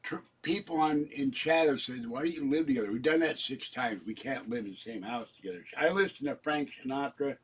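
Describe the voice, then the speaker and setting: A middle-aged man from Pittsburgh, chatting in a meandering way on his vlog, with a husky, scarred-sounding voice and frequent throat clearing. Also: medium pitch, brisk, few pauses. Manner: meandering, chatty, warm sign-offs to viewers. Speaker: vlogger. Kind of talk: casual rambling vlog chat.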